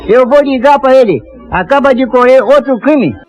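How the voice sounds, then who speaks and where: Worked-up guy ordering people around, very high voice, old radio drama, dull lo-fi sound. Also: expressive, normal speed. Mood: agitated, urgent. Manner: loud, urgent, commanding. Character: agitated, insistent man at a crime scene. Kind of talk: Brazilian radio drama acting.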